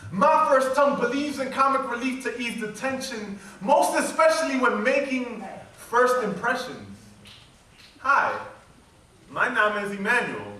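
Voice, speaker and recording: A man's voice: high voice, bright, forceful tone. A man performing spoken word poetry, playful and engaging the crowd. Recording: very echoey hall, mic far off.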